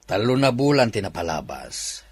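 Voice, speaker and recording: A man's voice: on the low side, big pitch swings, normal speed, breathy edge. A man voicing a kindly, authoritative older company owner who speaks to his son and his housemaid in a radio drama. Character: older male company owner, father and employer.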